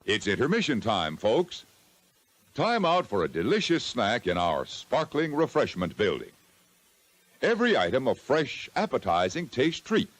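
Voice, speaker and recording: A man's voice: medium pitch, wildly swooping pitch, a little slow, a bit bright. An American man announcing in the scripted style of a vintage drive-in refreshment promo. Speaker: intermission announcer.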